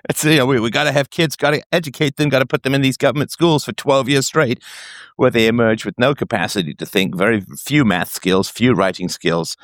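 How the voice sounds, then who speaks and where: A man hosting a casual call-in show, talking in an emphatic, opinionated way, his voice jumping higher when he gets worked up. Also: a little fast, no room sound, clean studio sound. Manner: emphatic, opinionated, pitch swings up when excited. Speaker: podcast host. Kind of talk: casual philosophical call-in chat.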